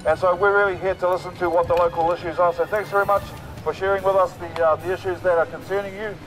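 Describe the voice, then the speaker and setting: Hoarse, rousing New male rally speaker, outdoors on a megaphone. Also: sits high, little pitch movement, quick pace, a bit bright, soft background music, rough recording. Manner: rousing, repetitive, with fillers. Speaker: protest march speaker. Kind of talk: outdoor rally speech through a loudspeaker. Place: outdoors on a city street.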